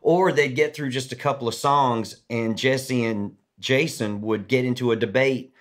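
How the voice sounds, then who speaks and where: A man in his forties from Massachusetts, a singer-songwriter guest on a podcast, telling stories in an easygoing, rambling way that trails off softly. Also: medium pitch, fairly even intonation, unhurried. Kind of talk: casual storytelling chat.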